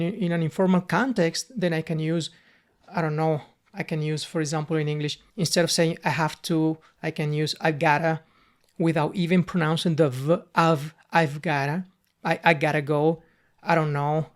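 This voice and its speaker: Cuban-accented middle-aged language tutor. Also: mid-range voice. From Cuba. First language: Spanish. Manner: conversational, earnest, repeats words while searching for them. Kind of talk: unscripted spoken lesson monologue.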